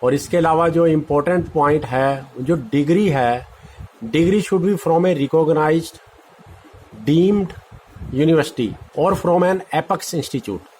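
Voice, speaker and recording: A man's voice: a little high, very slow, a little mellow, a little hiss or hum, poor audio. An Indian man presenting an informal, instructive explainer, mixing in English terms and repeating key points. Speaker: exam-prep presenter.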